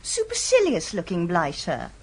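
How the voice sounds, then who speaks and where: A woman's voice: lowish voice, huge pitch swings, normal speed, breathy edge, heavily acted. An actress playing a blunt, irreverent office woman with an upper-class British accent in a radio drama, breezy and wry. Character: brisk, irreverent, worldly female office copywriter.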